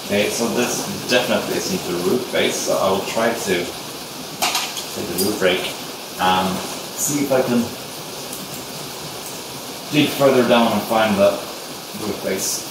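A man's voice: lowish voice, a little slow, pauses often. A young Swedish man with a Swedish accent, talking hesitantly while working on a bonsai, thinking aloud with many fillers in a casual tutorial. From Sweden. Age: young adult. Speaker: bonsai hobbyist vlogger. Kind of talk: casual hands-on tutorial chat. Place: small glassed sunroom indoors with rain outside. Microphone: camera mic from a distance. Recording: echoey distant camera audio with rain hiss. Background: steady rain noise.

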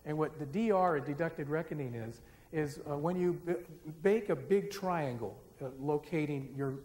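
A middle-aged man gives a public lecture on stage in a large dark auditorium, speaking into a podium microphone in a conversational, self-deprecating way. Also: on the high side, moderate pace. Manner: conversational, self-deprecating, gets the audience singing. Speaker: forum speaker. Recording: reverberant hall with faint hiss. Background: live audience.

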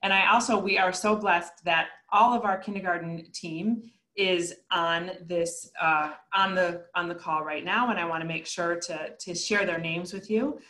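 A woman's voice: lowish voice, moderate pace, hardly any pauses, pushed and bright. A woman principal introducing her teachers on a video call, friendly and a little flustered. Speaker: school principal.

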